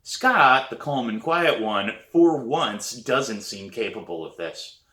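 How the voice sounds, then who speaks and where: A man reading a children's novel aloud in an expressive storybook narration style. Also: mid-range voice, slow pace. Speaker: author reading own novel. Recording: roomy echo.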